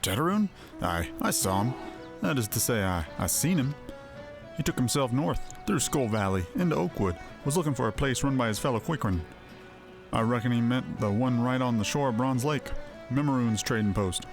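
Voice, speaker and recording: A man's voice: mid-range voice, lively intonation, normal speed, music in the background, lightly acted. A man voicing a helpful, plain-spoken dockside local in a video game, with folksy, rustic phrasing. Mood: helpful, musing. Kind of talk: voiced video game dialogue.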